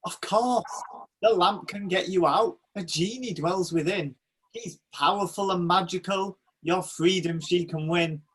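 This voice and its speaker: A man playing a dutiful spirit servant of a magic ring in a rhyming pantomime, solemn and husky. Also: high voice, a little slow, background noise. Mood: earnest. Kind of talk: pantomime acting.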